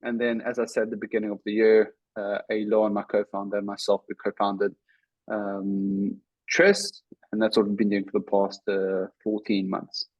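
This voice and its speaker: South African man, halting, dry sound. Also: fairly low pitch, flat delivery, no room sound, muffled recording. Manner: talks in short bursts with many "um" and "uh" pauses. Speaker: guest, startup CEO. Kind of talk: casual interview talk.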